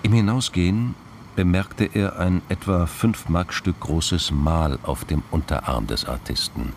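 Deep gravelly guy narrating a crime audio drama, noisy background. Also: a little slow. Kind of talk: scripted literary narration.

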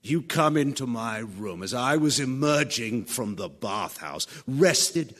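A man's voice: medium pitch, expressive, takes it slowly, fairly bright tone. An actor playing a moody, needy king in a theatrical period drama, by turns imperious and fond. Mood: wistful. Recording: echoey hall with long reverb.

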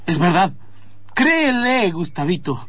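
A man's voice: high voice, big pitch swings, a little slow, fairly bright tone, husky, dry recording, exaggerated voice. A man playing a professor's eager, deferential student with an Argentine accent, in an improvised radio comedy. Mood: agreeable. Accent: Argentine, Rioplatense.

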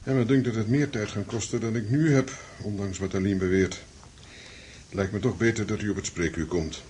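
A man acts a busy family doctor in a radio drama. His voice is fairly low and his delivery brisk and businesslike, over faint recording noise. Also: a little mellow, lightly acted. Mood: matter-of-fact. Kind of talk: Dutch radio play acting.

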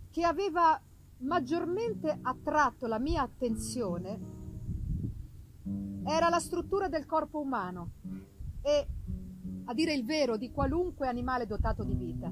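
A woman gives a dramatic literary reading with theatrical, deliberate phrasing and pauses. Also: high-pitched, poor audio. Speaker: reader performing a gothic novel. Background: live musical accompaniment.

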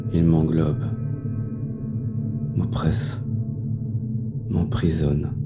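Homme jouant un survivant épuisé, voix très grave. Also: male voice, rumbling low, gravelly, heavily acted. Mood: oppressed, weary. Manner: slow, halting, dramatic delivery in short fragments. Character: battered exhausted male survivor, haunted, waking in darkness. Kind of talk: dramatic first-person audio fiction narration.

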